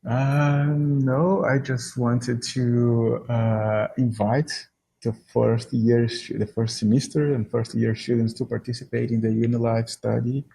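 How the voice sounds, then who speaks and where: A man's voice: mid-range voice, level tone, a little slow, gravelly, warm tone, slightly rough, rough recording. A man with a Brazilian Portuguese accent, a native Portuguese speaker, explaining as an academic interview guest and searching for words.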